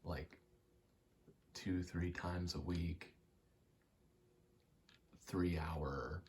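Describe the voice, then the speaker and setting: Casting: young man, low soft voice, halting casual ASMR rambler, faint noise behind. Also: steady tone. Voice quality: soft, low, often slipping into whisper. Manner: halting, self-deprecating, casually sweary. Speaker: ASMR creator. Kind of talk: rambling ASMR, casual personal chat while gaming.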